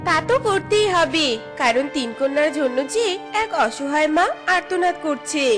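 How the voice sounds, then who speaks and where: A woman voicing a kind, curious young fairy in a cartoon fairy tale, gentle, earnest and expressive. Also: sits high, normal speed, over music. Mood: compassionate.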